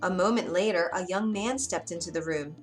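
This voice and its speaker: A woman's voice: on the low side, fairly quick. A woman narrating a scripted bedtime fairy tale for children, expressively and with dramatic emphasis. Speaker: children's storyteller. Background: music.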